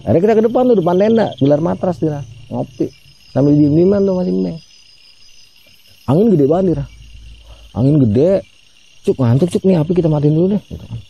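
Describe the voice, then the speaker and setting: A man's voice: fairly high pitch, lively intonation, a little fast, vocal fry, pauses often, mellow, breathy edge, no room sound, highs cut off. A man telling a ghost story in a chatty way, often addressing his listener by name and acting out the voices he quotes. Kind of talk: casual spoken ghost story.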